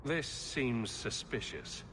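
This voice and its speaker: A man's voice: mid-range voice, slow, close to an everyday voice. A man voicing a wary, level-headed human adventurer in a video game. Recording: reverberant hall, noticeable ambient noise.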